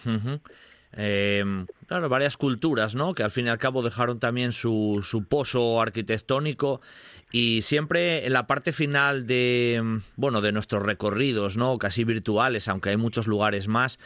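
Presentador de radio, hombre de Asturias, pausado y cercano en estudio seco. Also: male voice, medium pitch, a bit bright, no echo. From Asturias, Spain. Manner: conversational, hesitant with restarts. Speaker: radio host. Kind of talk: radio interview.

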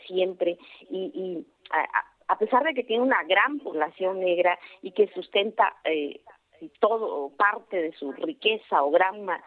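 A Mexican woman from Oaxaca, a guest speaking earnestly by phone on a radio show, pausing often with fillers and restarts. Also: fairly low pitch. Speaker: guest, traditional cooking expert. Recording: tinny phone line.

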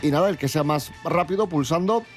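High-pitched man hosting morning radio. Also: a little fast, soft background music. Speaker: radio host and quizmaster. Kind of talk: lively morning radio presenting.

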